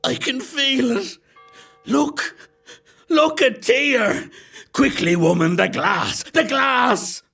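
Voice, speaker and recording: Man acting a frantic father, high strained voice. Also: slow, gravelly, sharp tone, slightly rough, soft background music, full character voice. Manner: urgent, exclaiming. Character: desperate, frantic father in a folk horror tale.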